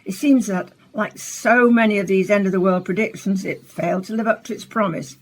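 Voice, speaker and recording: A composed, inquisitive woman played by an actress presents a science programme in a radio drama. Her voice is medium in pitch with a slightly husky edge, and her phrasing is polished and formal. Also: a little fast, natural voice, low-quality recording. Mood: bright, presenterly. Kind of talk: scripted radio play, science broadcast delivery.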